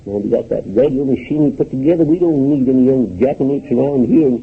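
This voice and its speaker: An actor playing a folksy, obtuse old shopkeeper with a hesitant rural American way of talking, in 1930s radio comedy style. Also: male voice, medium pitch, huge pitch swings, quick pace, creaky, slightly rough, full character voice. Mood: grumbling, scornful. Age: older. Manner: hesitant, drawling, countrified grammar. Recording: muffled 1930s radio recording.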